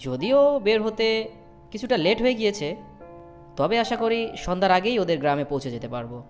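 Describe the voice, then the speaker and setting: Young city student narrator female actor, scripted cartoon story. Polite, animated intonation, prominent music bed. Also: mid-range voice, fast talker, close to an everyday voice. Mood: reflective.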